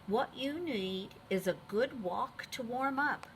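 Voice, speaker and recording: A woman's voice: a little high, animated, slow pace, a little hiss or hum. A woman reading the part of a cheerful patchwork elephant in a children's storybook, coaxing and encouraging. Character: cheerful, playful patchwork elephant leading his friends. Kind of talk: children's storybook read-aloud.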